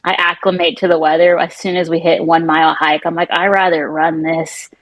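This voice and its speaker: A young woman from Houston, a marathoner and physical therapist, chatting as a guest in a lively, joking way. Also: sits low, a little fast. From Houston, USA. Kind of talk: casual interview chat.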